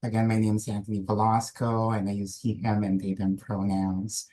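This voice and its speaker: Man, Filipino first language, mellow warm voice, slow gentle guided speech, video call mic. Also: lowish voice, completely flat delivery. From Philippines. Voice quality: slow, measured, gentle invitational delivery. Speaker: guest speaker, nurse practitioner. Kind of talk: webinar presentation with guided grounding exercise. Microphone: computer mic on a video call.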